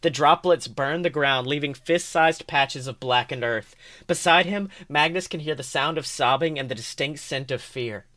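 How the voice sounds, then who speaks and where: A man reads a story aloud as a podcast host. His voice sits a little high and is bright and forceful, with expressive narration. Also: normal speed. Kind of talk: expressive fan fiction narration.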